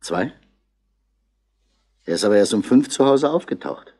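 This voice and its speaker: An actor playing a middle-aged, dry-witted police commissioner in a radio crime drama, asking terse, probing questions in a husky voice. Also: male voice, fairly low pitch, expressive, a little slow, dark tone, heavily acted. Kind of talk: 1960s German radio crime drama acting.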